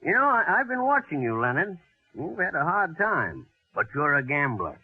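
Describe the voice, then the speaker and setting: On a tinny old radio recording, an excitable elderly man with a husky voice. He is avuncular and rambling, swooping up and down as he goes, a genial landlord and amateur inventor from 1940s radio drama. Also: on the high side, moderate pace, no room sound, exaggerated voice. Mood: jovial, teasing. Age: older. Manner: avuncular, chatty, rambling, chuckling.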